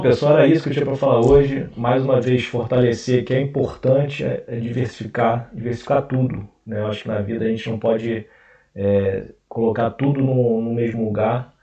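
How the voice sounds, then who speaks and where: A Brazilian man with a Carioca accent explains his investments in a casual, halting way, full of fillers and restarts. His mid-pitched voice has a gravelly edge. The sound is roomy and dull, as if picked up from a distance. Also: mellow. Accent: Carioca Portuguese. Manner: hesitant, many fillers and restarts. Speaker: investment channel host. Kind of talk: casual screen-share explainer.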